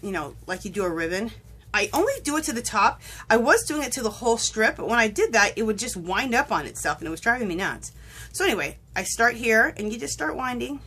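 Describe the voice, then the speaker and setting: A woman's voice: mid-range voice, bright, forceful tone, husky. Chatty woman with a cold, crafting. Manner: chatty, rambling, self-correcting. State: fighting a cold, sniffling. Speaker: crafting vlogger. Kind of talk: casual tutorial chat. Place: home craft table, indoors.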